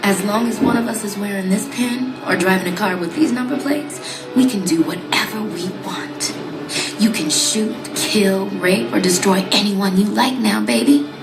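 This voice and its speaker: A woman acts out a streetwise, taunting assassin for a motion comic. She is sassy, foul-mouthed and commanding at a medium pitch. The sound is roomy, with music underneath. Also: animated, moderate pace, lightly acted. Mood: cocky, persuasive.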